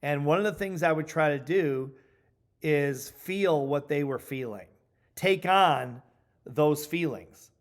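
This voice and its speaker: Middle-aged man, somewhat high voice, coaching trainer, instructional talk, deliberate emphatic delivery. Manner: emphatic, deliberate, repeats key points for stress.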